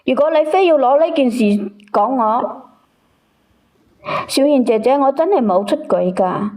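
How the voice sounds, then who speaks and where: Female caller, high voice, protesting wife, defensive and pleading. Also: expressive, measured pace, darkish tone. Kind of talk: defensive live call-in talk.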